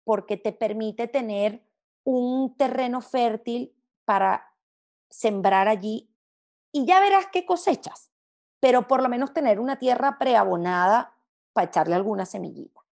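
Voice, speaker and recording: A woman from Venezuela speaks in a fairly high voice with noticeable fry. Her Latin American accent is hard to place. She talks as a guest in a reflective, conversational interview, with an expressive way of putting things. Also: a little slow. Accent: Spanish of mixed Latin American sound, hard to place. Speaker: interview guest, gastronomy journalist.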